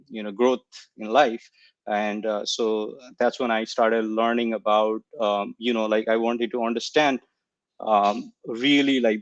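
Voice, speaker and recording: A man's voice: on the low side, no room sound, dull audio. Hesitant young man. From Nepal. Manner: hesitant, searching for words, many fillers. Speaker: coaching client guest. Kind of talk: casual online interview talk.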